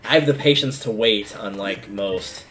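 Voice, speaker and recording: A man's voice: fairly low pitch, normal speed, fairly bright tone. A man giving casual livestream commentary while playing a video game, rambling a bit as he thinks aloud. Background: video game music.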